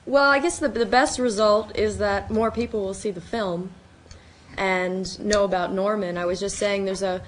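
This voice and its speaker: A woman from Chicago giving informal, anecdote-filled answers at a panel discussion. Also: mid-range voice. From Chicago, United States. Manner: conversational, quotes people in anecdotes. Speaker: documentary producer. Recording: live event with faint noise.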